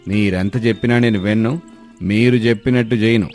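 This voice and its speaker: A man's voice: lowish voice, fairly even intonation, fairly quick, a little mellow, soft background music, close to an everyday voice. A man playing a firm, fair-minded village shop owner with an admonishing manner in a cartoon moral story. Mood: firm refusal.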